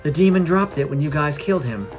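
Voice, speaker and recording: A man's voice: fairly high pitch, lively intonation, quick pace, soft background music, lightly acted. A man voicing a kindly, frank female cleric in a fantasy storybook reading. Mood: matter-of-fact. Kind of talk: storybook character dialogue.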